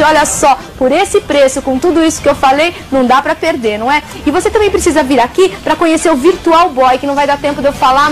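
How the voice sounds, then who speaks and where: Very high-pitched São saleswoman. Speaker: TV shopping presenter. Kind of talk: chatty promotional sales pitch. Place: video game shop, indoors.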